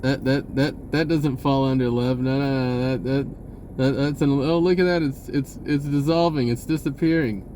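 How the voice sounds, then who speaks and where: A man giving an unscripted personal message in a casual, halting, rambling way with short broken phrases. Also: medium pitch, fairly even intonation, mellow, slight background noise.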